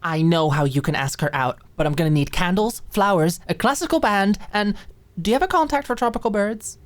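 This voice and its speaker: A woman voicing an excitable, confident, gossipy young woman in a dramatized audiobook, gushing and slangy. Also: medium pitch, brisk, pitched above the performer's own voice, lightly acted. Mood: eager, playful. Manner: gushing, dramatic, teenage-style slangy delivery. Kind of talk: dramatized audiobook character acting.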